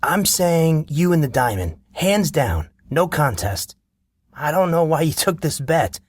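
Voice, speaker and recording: A man's voice: a little high, big pitch swings, a little slow, husky, dry recording, clean studio sound, voice pushed up, exaggerated voice. A man voicing a cocky, sarcastic young man student who talks in a mocking, needling way.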